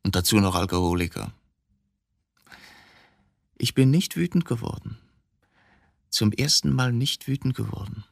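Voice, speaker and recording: Embittered middle-aged suspect, dry sound. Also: male voice, on the low side, expressive, unhurried, gravelly, long pauses, dry recording, exaggerated voice. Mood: bitter, confessional. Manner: brooding, agitated, rhetorical. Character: embittered 47-year-old male court reporter, murder suspect. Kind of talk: German radio drama acting.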